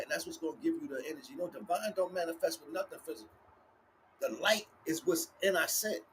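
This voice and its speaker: Street-talking man preaching, noisy background. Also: sits high. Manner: casual street slang with frequent swearing; emphatic, repeats phrases. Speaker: livestream spiritual teacher. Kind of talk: rambling motivational spiritual talk.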